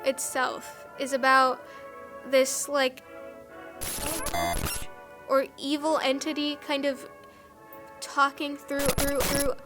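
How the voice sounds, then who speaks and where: A high-pitched woman gives casual, conversational commentary with an enthusiastic manner. Faint music plays behind her as she talks in an interview style. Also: monotone, slow, long pauses. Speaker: documentary interviewee, filmmaker.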